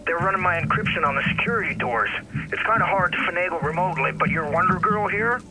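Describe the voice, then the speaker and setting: An actor playing a cantankerous, cocky older hacker in a video game, talking in a folksy, sardonic, blunt way. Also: male voice, sits high, expressive, quick pace, poor audio. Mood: dry, confident. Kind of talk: video game cutscene acting.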